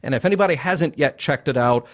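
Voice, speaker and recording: A man's voice: a little high, quick pace. A man hosting an interview podcast, feeling his way through questions in a conversational, roundabout manner. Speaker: podcast host.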